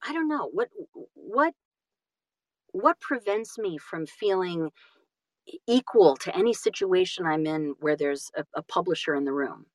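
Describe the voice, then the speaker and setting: A woman's voice: lowish voice, animated, a little slow, a little mellow, no echo. A middle-aged woman chatting casually on a podcast, self-deprecating, often restarting and repeating her phrases. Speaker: audiobook narrator and guest.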